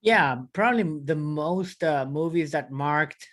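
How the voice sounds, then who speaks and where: A man from Mexico talks politely and with care as a guest on a movie podcast. His voice sits a little higher than most men's. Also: unhurried, a bit bright.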